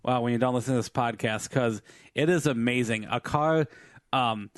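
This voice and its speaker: A man co-hosting a podcast, slightly hoarse from singing, reading out news stories in rambling casual chat full of fillers and restarts. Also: mid-range voice, normal speed, no room sound, studio quality. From Filipino family background. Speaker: podcast co-host.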